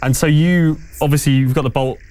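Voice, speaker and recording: A man running a tabletop game as game master, narrating and refereeing conversationally, pausing as he thinks aloud. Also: a little high, fairly even intonation, a little mellow.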